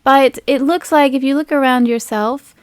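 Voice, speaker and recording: High-voiced woman running a tabletop game. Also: fairly even intonation, moderate pace, clear, resonant tone, no room sound, clean studio sound. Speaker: game master. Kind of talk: casual improvised tabletop game narration.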